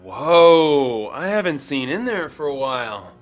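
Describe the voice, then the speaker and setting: An actor playing a chatty, naive young grunt in an audio drama, rambling and excitable, tripping over his words. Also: fairly high pitch, unhurried. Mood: awed. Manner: rambling, excitable, repeats himself.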